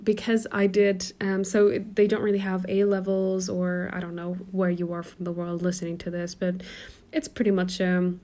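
Young woman, American-sounding accent, warm mellow voice, soft chatty podcast talk, phone recording at home. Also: lowish voice, monotone, normal speed. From Germany, raised in Portugal. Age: 20s. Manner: soft, chatty, many ums and little laughs. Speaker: podcast host and coach. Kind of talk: casual solo podcast talk. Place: dark quiet room at home.

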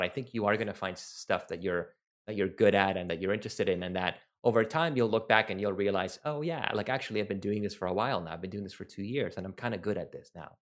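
Laid back guy from venezuela, spanish native, lowish voice, hosting a chat and taking questions, lots of ums. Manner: relaxed, rambling with fillers and restarts. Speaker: talk host and researcher. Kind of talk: casual online talk, taking questions.

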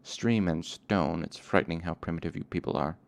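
A man voicing an excitable, easily offended young male fae servant who hisses and splutters, in a storybook read aloud. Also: low-pitched, fairly quick, creaky. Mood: huffy. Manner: indignant, hissing and spluttering.

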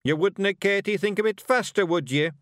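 Man doing a high, forceful tiny Scottish clan chief. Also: brisk, no echo, clean studio sound. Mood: puzzled, impatient. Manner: forceful, urgent, plain-spoken. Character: tiny gruff male Feegle leader, commanding, fiercely protective.

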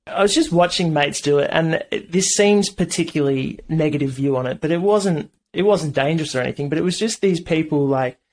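A man's voice: fairly high pitch, fairly quick, hardly any pauses. An Australian man, a band member, talking in a casual interview, hesitant and thoughtful. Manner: hesitant, thoughtful, lots of fillers.

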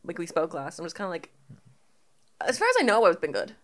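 A woman's voice: fairly low pitch, very animated, a little fast. A woman guesting on a podcast, chatting easily and laughing often. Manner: giggly, laughs often, easygoing. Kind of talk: casual chat.

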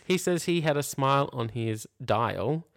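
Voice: mid-range voice, expressive, a little slow, vocal fry, a little hiss or hum. An Australian podcast co-host chatting casually and comedically, reading aloud with mocking, incredulous commentary. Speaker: junior primary school teacher.

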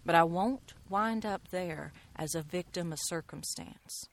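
A woman's voice: lowish voice, a little slow. A woman playing a resolute frontier woman in a western radio drama, speaking earnestly and directly. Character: frank resolute frontier woman, the fugitive's companion.

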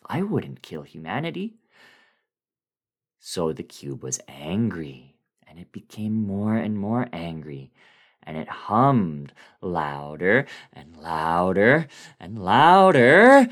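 A man with a deep voice reads a horror story aloud as a dramatic performance. His delivery is deliberate and brooding, moving slowly and swinging widely in pitch as the emphasis swells. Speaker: dramatic reader.

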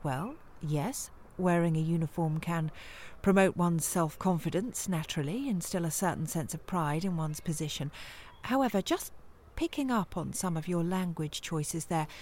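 An actress in a comic audio play voicing a prim, formal interviewer who stays officious and politely strained with a job candidate. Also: female voice, fairly low pitch, moderate pace, a little mellow, lightly acted. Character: prim, patient, increasingly strained female prison service interviewer.

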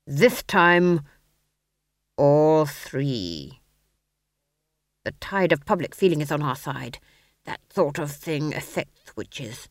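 A woman's voice: sits low, lively intonation, slow pace, pauses often, no echo, clean studio sound. A woman voicing a smug, scheming duke with a pompous manner in a story reading.

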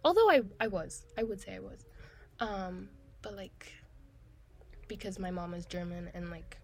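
Young woman podcast co-host, mid-pitch, lots of "like", kinda halting. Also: slight background noise. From Tanzania. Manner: hesitant, many fillers like "like". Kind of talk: casual chat.

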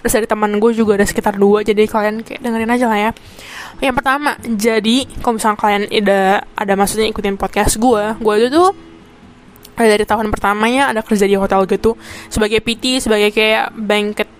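A young woman in her twenties from Jakarta with a Jakarta accent, hosting a casual solo podcast. She chats in slang, fills her talk with asides and fillers, and drops in English words. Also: a little high. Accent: Jakarta Indonesian. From Jakarta, Indonesia. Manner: chatty slang-heavy, mixes in English words. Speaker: podcast host.

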